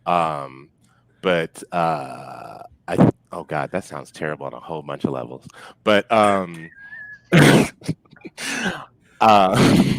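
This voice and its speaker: A man's voice: rumbling low, slow, vocal fry, long pauses, dry recording. A man chatting casually as a podcast guest, hesitant and self-deprecating.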